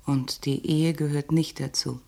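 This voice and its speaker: An actress playing a guarded, wounded young woman in a radio crime drama, speaking in a hushed, intimate, probing way. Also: low voice, steady tone, measured pace, vocal fry. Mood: wry, probing.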